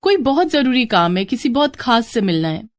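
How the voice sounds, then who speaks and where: A woman voicing a determined, caring young married woman in a dramatized moral story, with expressive, earnest delivery. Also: a little high, moderate pace, pitched above the performer's own voice. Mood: insistent. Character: determined, caring young married sister-in-law, sly and warm.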